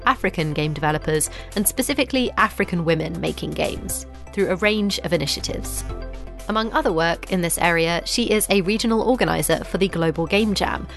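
A woman hosting a podcast, reading a scripted introduction in a clear, measured way and asking questions. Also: lowish voice. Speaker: podcast host. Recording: faint music behind the voice.

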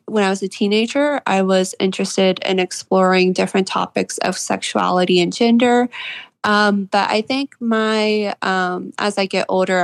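A woman of about twenty speaks as an interview guest, reflective and earnest. Her voice sits at a middling pitch for a woman. The sound is very dry, with no room around it. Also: no room sound. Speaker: interview guest, fiction author. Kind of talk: interview answers, reflective conversation.

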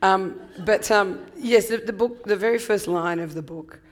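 A woman in her fifties with a husky voice from laryngitis, reflecting thoughtfully as an interview guest with many ums. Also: mid-range voice, normal speed. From Australia. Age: 50s. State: hoarse from laryngitis. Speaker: guest author. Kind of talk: conversational interview.

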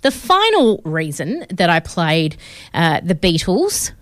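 A woman's voice: lowish voice, wildly swooping pitch, slow pace, no echo, studio quality. An Australian woman in her thirties hosting a radio film show, chatting casually with many fillers, her voice a bit crackly. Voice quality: slightly crackly voice. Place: radio studio. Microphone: studio mic.

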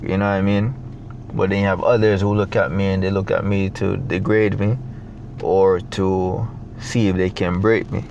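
A young adult man from Jamaica with a Jamaican accent, talking in a reflective, halting way with many fillers as a guest in a casual interview. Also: deep voice, level tone, gravelly, darkish tone, dull audio, a little hiss or hum.

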